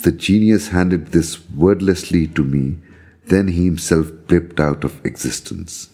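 A man reading a scripted, first-person story of a visionary journey in a measured, solemn manner. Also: sits low, dark tone, slightly rough. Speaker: storyteller reading own account.

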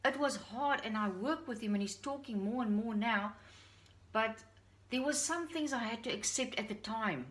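A woman's voice: a little high, moderate pace, a bit bright. Earnest middle-aged woman with a Dutch accent. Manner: earnest, heartfelt, confiding. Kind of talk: personal talk to camera. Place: living room at home. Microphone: camera on a stand at a short distance.

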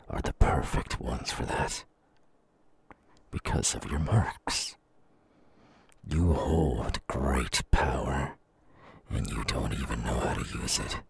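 A man playing a menacing, gloating man-eating demon in an ASMR audio roleplay, slow and sneering, with a gravelly growl that drops into breath. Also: extremely low pitch, heavily acted. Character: menacing, gloating male demon who eats humans.

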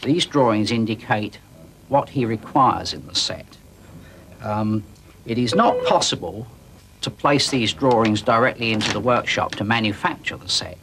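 A middle-aged man explaining his engineering work for a documentary in measured, formal wording. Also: on the low side, a bit bright. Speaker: theatre engineer. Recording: clearly noisy workplace recording.

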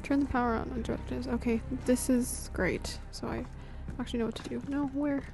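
A woman's voice: fairly high pitch, fairly even intonation, brisk, gravelly. A young woman giving casual, murmured commentary as she plays a game. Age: young adult. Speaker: gaming streamer. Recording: loud game sound behind the voice.

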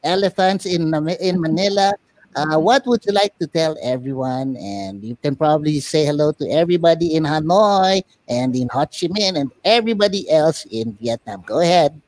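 A man's voice: fairly high pitch, lively intonation, moderate pace, no room sound. A man hosting a casual interview show, interjecting with repeated encouragement. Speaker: talk show host.

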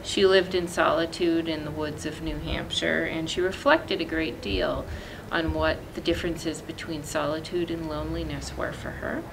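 A woman in her thirties reading literature aloud as a librarian, with measured, deliberate phrasing and pauses. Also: low voice, steady tone, creaky, noisy background. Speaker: librarian presenting a poetry reading. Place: indoor library, seated among bookshelves.

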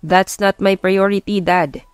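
A smooth-talking man in his late twenties voiced by a woman narrator in an audio romance. He is stubborn and assured, slipping English into his Tagalog. The sound is dry and close. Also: mid-range voice, fairly even intonation, slow, no room sound, soft background music, close to an everyday voice. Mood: bluffing.

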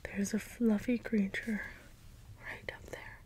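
A woman playing a gentle, puzzled caretaker robot in a soft, breathy ASMR role-play whisper, with halting, deliberate delivery. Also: medium pitch, level tone. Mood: gentle, curious. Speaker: ASMR performer. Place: small dim indoor space with cloth backdrop. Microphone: close ASMR microphone.

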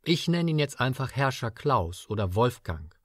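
A man's voice: medium pitch, big pitch swings, moderate pace, breathy edge, no room sound, clean studio sound. A man working as an audio drama voice actor, reading script lines and making casual asides between takes.

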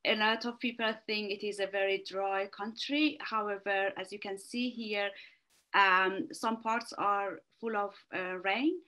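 A woman from Iran with an Iranian accent, giving an academic talk over a video call, halting, with many fillers and restarts. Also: medium pitch, fairly even intonation, pushed and bright, rough recording. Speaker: research fellow presenting a webinar talk. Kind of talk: online academic presentation.

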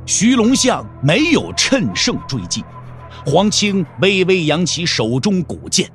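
Animated, high-pitched male storyteller. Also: measured pace, soft background music. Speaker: narrator of a wuxia audio drama. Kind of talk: dramatic scripted storytelling narration.

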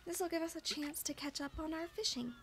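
A woman's voice: high-pitched, a little fast, a bit bright, a little hiss or hum. A woman giving casual let's play commentary on a farming game, musing aloud to herself as she plays. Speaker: streamer playing a farming game. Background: game music.

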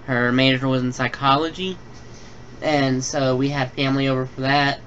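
A man's voice: medium pitch, steady tone, normal speed, fairly bright tone. A young man hosting a gaming channel, talking through a build tutorial and then a casual personal update with many fillers, recorded at home. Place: home room. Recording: dull lo-fi home recording with faint hiss.

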